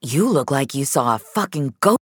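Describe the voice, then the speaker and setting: A woman's voice: extremely low pitch, very animated, normal speed, background noise. A woman voicing a joking, blunt male colleague in a science-fiction audio story. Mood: teasing, blunt. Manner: blunt, teasing. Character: Reza, joking, foul-mouthed male colleague in sci-fi story.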